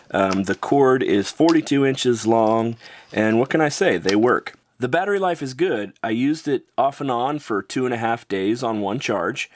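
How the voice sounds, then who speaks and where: A man with a North American accent narrating a casual gadget review in an offhand, informal, slightly wry way. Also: mid-range voice. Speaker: product reviewer.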